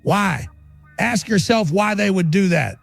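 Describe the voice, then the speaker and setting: A man's voice: sits high, unhurried, gravelly, no echo, faint music behind, exaggerated voice. A man playing a fiery, defiant conspiracy radio host, ranting in emphatic, indignant, punchy phrases for an audio drama. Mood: accusing.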